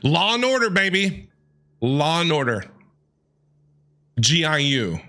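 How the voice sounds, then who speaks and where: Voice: medium pitch, big pitch swings, slow pace, bright, forceful tone. A streamer giving casual, teasing, slangy commentary while reacting to a game. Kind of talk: casual playful gaming commentary.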